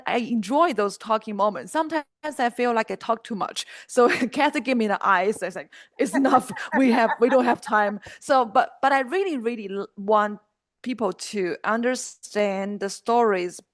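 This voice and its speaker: A woman's voice: fairly high pitch, a bit bright, no room sound, studio quality. A woman from China with a Chinese accent, a musician talking casually in an interview and searching for her words. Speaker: musician, guest.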